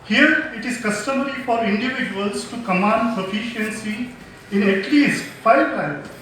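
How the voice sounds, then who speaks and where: A man's voice: very high-pitched, sharp tone, far from the mic, slight background noise. Indian man speaking in an echoey hall. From Mangalore, India. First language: Konkani. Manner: measured, formal delivery. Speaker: invited speaker. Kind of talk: formal prepared speech. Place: large hall.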